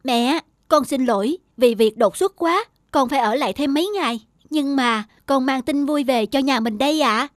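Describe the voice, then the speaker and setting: A woman voicing a polite, devoted and determined young wife in an animated moral drama. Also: high-pitched, normal speed, few pauses, dry recording, clean studio sound, close to an everyday voice. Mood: hopeful. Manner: polite, earnest.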